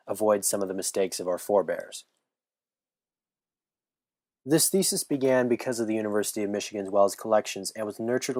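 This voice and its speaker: A young man, a history student, giving an earnest, measured scripted narration. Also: lowish voice, darkish tone, dry recording, studio quality. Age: young adult.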